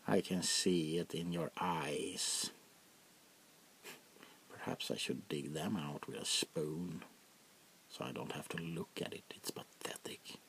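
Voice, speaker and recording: A man playing an arrogant, power-hungry vampire prince in a soft-spoken ASMR roleplay, with haughty dramatic pauses and a gravelly voice that often sinks into whispers. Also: deep voice, fairly even intonation, a little hiss or hum, exaggerated voice, rough recording. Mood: cold, menacing.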